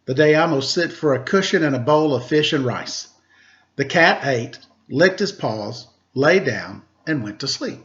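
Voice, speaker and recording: A man working as a storyteller, reading a children's tale in an expressive narrating style. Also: medium pitch, a little slow. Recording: slightly dull, lo-fi sound.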